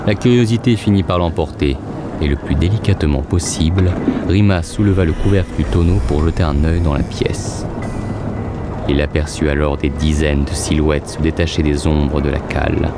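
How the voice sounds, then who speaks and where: Low-pitched male fantasy narrator. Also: normal speed, very noisy. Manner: literary, dramatic storytelling. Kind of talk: scripted fantasy fiction narration. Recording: loud music behind. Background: loud music.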